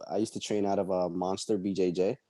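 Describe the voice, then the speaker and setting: Low, dark-voiced young man, halting. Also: no room sound, clean studio sound. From El Salvador. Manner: relaxed, halting, full of ums and uhs. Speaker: MMA fighter guest. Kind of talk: casual interview answers.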